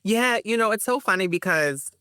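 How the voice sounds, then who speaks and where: A woman guest and performer in a reflective interview, speaking slowly and pausing to find her words, with slangy asides. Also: medium pitch, animated, pushed and bright, no echo, clean studio sound.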